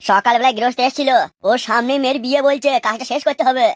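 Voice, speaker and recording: A woman's voice: sits high, steady tone, rapid-fire, close to an everyday voice. A woman voicing a bossy, crude, scheming male mason in a comic cartoon. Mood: nagging. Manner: crude, nagging, commanding.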